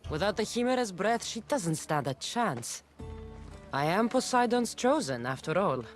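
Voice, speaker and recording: An actress playing a confident mercenary heroine in a video game, speaking with commanding self-assurance. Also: female voice, medium pitch, very animated, normal speed, soft background music, natural voice. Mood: resolute. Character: confident female ancient Greek mercenary heroine. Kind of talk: dramatic video game cutscene acting.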